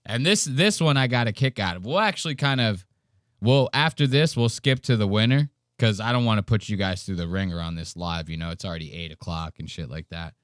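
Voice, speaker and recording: A man's voice: fairly low pitch, brisk, no room sound, clean studio sound. A man hosting a live stream with casual, profane, slangy and mocking reaction commentary.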